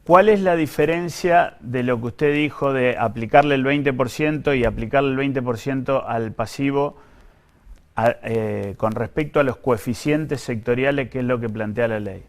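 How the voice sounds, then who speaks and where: A man with an Argentine accent speaking as an official in an interview, explaining things in a careful, measured, technical way. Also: mid-range voice. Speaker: government pension official being interviewed.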